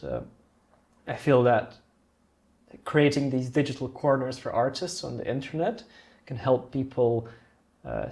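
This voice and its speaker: Gravelly-voiced man explaining casually. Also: medium pitch, darkish tone. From Romania. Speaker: podcast guest, art project creator. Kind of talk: casual conversational interview.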